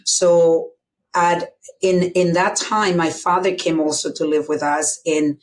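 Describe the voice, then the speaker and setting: A woman's voice: fairly low pitch, fairly even intonation, slow, low-quality recording. A middle-aged woman from the Dominican Republic with a Dominican Spanish accent, a guest telling her life story openly and reflectively, with many fillers. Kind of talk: candid personal storytelling.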